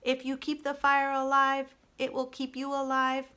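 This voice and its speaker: A woman voicing a kindly, calm female robot in a children's storybook, with measured, formal and reassuring phrasing. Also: high voice, pitched above the performer's own voice.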